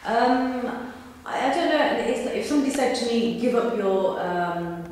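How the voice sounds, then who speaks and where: A woman's voice: on the high side, lively intonation, a little slow, a little mellow, a little hiss or hum. Echoey thirty-something woman, thoughtful with ums. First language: Punjabi. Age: 30s. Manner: thoughtful, with frequent ums and restarts. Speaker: interviewee. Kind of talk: answering interview questions. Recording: echoey room recorded from a distance.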